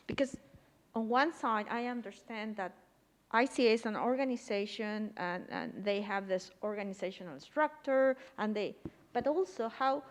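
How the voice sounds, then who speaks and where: Chilean woman, hesitant panel speaker. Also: medium pitch. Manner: hesitant, searching for words, with soft laughs. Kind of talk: conference panel discussion.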